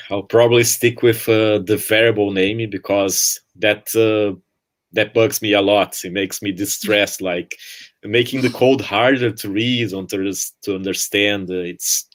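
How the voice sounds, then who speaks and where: Low-voiced man giving a talk. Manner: relaxed, hesitant, many "uh"s. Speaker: conference speaker. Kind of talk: presentation and Q&A answers.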